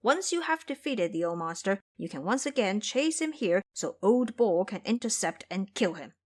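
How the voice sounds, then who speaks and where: A woman's voice: mid-range voice, big pitch swings, moderate pace, darkish tone. A woman voicing a simple, agreeable male boar disciple in an audio drama, eager and deferential.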